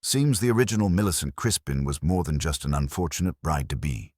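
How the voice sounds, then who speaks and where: A man's voice: extremely low pitch, lively intonation, brisk, darkish tone, no room sound, studio quality. A man voicing a businesslike police sergeant who reports in a matter-of-fact way in a detective story. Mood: grave reporting.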